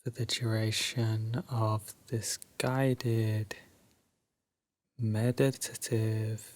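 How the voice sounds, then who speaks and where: Very slow, soft male meditation guide. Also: lowish voice, little pitch movement. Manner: soft, drowsy, soothing, with long pauses. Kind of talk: guided sleep meditation, Yoga Nidra.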